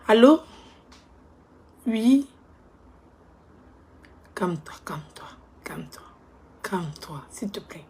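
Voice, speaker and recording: A woman playing a remorseful young woman who begs her ex on the phone. She speaks softly and pleads, often close to a whisper. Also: mid-range voice, lively intonation, slow pace, long pauses, slightly rough, exaggerated voice. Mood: pleading. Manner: soft, hushed, pleading, often near a whisper. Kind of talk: comedy skit monologue.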